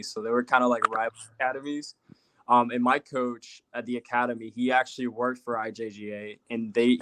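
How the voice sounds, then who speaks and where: A man's voice: mid-range voice, background noise. A young man from Puerto Rico, a guest telling rambling stories in casual chat with many fillers.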